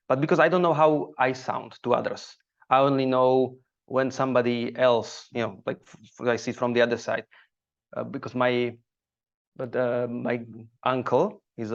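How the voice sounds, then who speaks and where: A man from Slovakia with a Slovak accent, speaking English as a second language, chatting haltingly as a guest comedian on a podcast. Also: mid-range voice, creaky. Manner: halting, searching for words. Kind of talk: casual podcast conversation. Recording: dull lo-fi audio.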